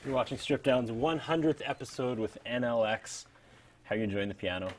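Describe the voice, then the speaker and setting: A man's voice: medium pitch, fairly quick, noisy background. A Canadian man hosting a show with casual on-stage interview talk. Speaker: TV show host.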